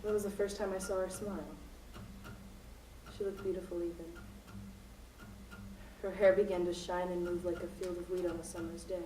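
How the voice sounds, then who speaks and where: A woman in a student radio play acting a desperate, unhinged hostage-taker, melodramatic, moving between hushed recollection and frantic cries. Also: fairly low pitch, level tone, normal speed, long pauses, slight background noise, natural voice. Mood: numb, hushed. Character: unhinged, desperate female hostage-taker confessing her crimes. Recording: roomy, distant recording with music behind.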